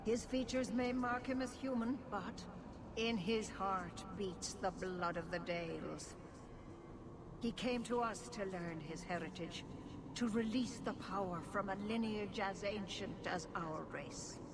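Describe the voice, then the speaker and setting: A woman's voice: medium pitch, a little slow, natural voice. An actress playing a proud, persuasive female elf leader in a video game, with a solemn, declamatory delivery. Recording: loud ambient noise.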